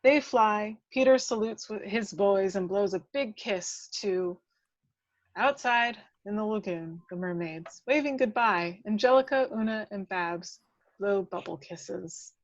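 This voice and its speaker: A woman's voice: mid-range voice, unhurried, no room sound. A woman reading out the action of a screenplay as a dramatic narrator. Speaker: script narrator. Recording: dull lo-fi, dry microphone.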